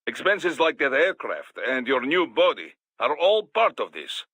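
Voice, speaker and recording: An actor playing a gruff, businesslike Russian security boss in a video game, with a Russian accent. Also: male voice, on the high side, very animated, pushed and bright, no echo, heavily acted. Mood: matter-of-fact. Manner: businesslike, measured.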